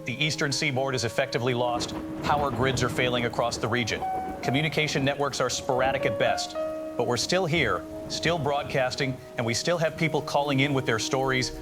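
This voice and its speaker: A weary radio host, played by a man in an apocalyptic audio drama. He has a mid-pitched voice and speaks steadily and somberly into the emergency broadcast, with music clearly playing behind him. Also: a little fast, lightly acted. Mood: somber, steady.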